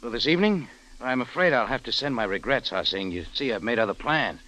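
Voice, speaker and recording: An actor playing a wry, easygoing American cafe owner and adventurer in a 1950s radio drama, with casual noir-style delivery. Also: male voice, mid-range voice, animated, brisk, pushed and bright, low-quality recording. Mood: wry, reluctant. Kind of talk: 1950s radio drama acting, first-person noir narration. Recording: vintage radio with music behind.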